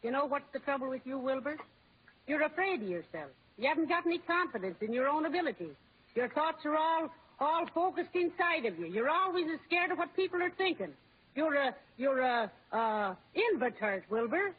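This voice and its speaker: Tinny old-radio nagging American housewife. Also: female voice, high-pitched, sing-song, moderate pace. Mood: insistent prodding. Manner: scolding, insistent. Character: nagging, bossy, well-meaning American housewife. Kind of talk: old-time radio comedy acting.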